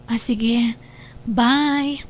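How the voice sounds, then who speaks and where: A woman acting a gentle, trusting, ailing young girlfriend in an Ilocano audio drama, with a soft, tender manner. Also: fairly high pitch, fairly even intonation, very slow, slight background noise. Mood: tender, hopeful.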